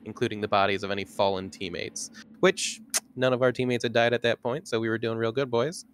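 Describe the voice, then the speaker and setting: Male tabletop gamer, medium pitch, casual recap chat, noisy recording. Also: fairly even intonation, a little fast. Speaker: tabletop game player.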